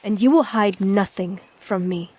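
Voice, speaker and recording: An actress playing a devout, proud and troubled priestess in a video game cutscene, speaking solemnly and with command. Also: female voice, medium pitch, a little slow, dark tone, slightly rough. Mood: stern.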